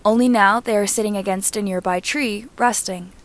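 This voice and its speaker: Woman narrating a story at middle pitch. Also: fairly even intonation, moderate pace. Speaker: reader and narrator of fan fiction. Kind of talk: scripted storybook narration, audiobook reading.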